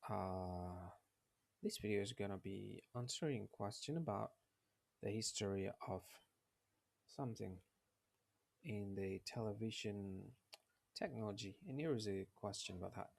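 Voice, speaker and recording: A man hosting an explainer quiz video with halting, repetitive phrasing and a husky, creaky voice. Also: lowish voice. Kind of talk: explainer narration, quiz question and answer.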